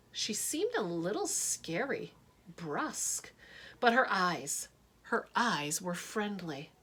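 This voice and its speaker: A woman, a teacher, reading a children's picture book aloud in an expressive storytelling manner. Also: mid-range voice.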